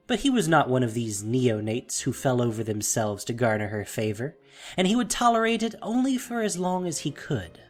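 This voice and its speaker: Expressive narrator with a lively, swooping delivery. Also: mid-range voice. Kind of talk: dramatic scripted fantasy storytelling. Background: soft music.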